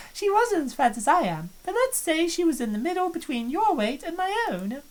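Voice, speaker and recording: A woman's voice: very high-pitched, very animated, normal speed, voice pushed up. A woman voicing a confident, teasing plump college student in a fan-voiced visual novel. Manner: self-assured, teasing.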